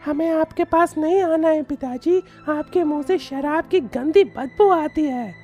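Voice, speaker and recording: A woman voicing a petulant, complaining poor young boy in an animated story. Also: high voice, a little slow, dull audio, faint music behind. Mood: defiant. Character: angry, whiny poor young boy Bunty. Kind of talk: animated moral story dialogue.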